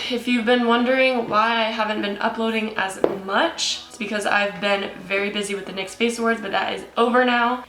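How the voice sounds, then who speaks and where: Stuffy-nosed woman, bright and chatty. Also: medium pitch, echoey room, low-quality recording. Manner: excited, chatty and upbeat. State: stuffy nose from a cold. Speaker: beauty YouTuber. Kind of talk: makeup tutorial narration.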